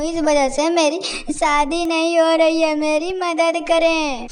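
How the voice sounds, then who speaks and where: A woman voicing a poor, downhearted young village woman who begs plaintively, in cartoon-story style. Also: high voice. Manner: plaintive, begging. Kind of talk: Hindi cartoon moral-story acting.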